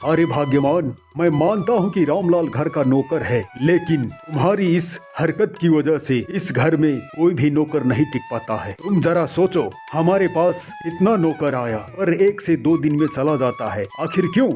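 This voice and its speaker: Guy doing a kind henpecked rich husband in a cartoon, highish swingy voice, placating. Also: expressive, moderate pace, few pauses, faint music behind, close to an everyday voice. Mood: placating, reproachful. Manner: placating, gently reproachful. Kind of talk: animated moral story dialogue.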